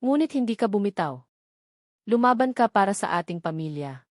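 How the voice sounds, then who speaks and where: A woman's voice: medium pitch, lively intonation, brisk, no room sound, studio quality. A woman with a Filipino accent reading a scripted romance story as its narrator.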